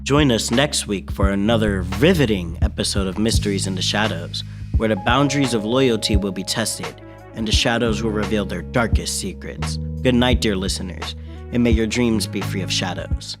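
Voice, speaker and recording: A man's voice: lowish voice, normal speed, lightly acted. A man playing a solemn old-time radio announcer, narrating a mystery serial in a theatrical, ominous manner. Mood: dramatic. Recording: music bed behind the voice.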